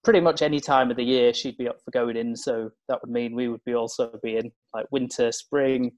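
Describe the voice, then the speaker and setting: A man's voice: mid-range voice, steady tone, brisk, a little mellow, no echo. Hesitant young man, dry close sound. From England, Cumbria. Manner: hesitant, full of fillers and restarts. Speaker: guest, open-water swimmer. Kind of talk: casual interview chat.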